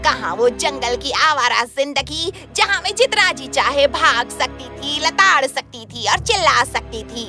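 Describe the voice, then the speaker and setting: A wild, ravenous fairy-tale witch, ranting in a very high voice. She is furious and melodramatic, with clear music playing underneath. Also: lively intonation, measured pace, few pauses, pushed and bright, full character voice. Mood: furious, whining. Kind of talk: audio fairy tale voice acting.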